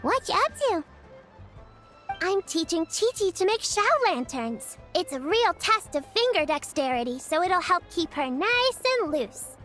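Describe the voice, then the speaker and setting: A woman's voice: extremely high pitch, big pitch swings, measured pace, fairly bright tone, soft background music, full character voice. A woman voicing a cheerful, caring little girl in a video game, explaining things patiently in a lilting way.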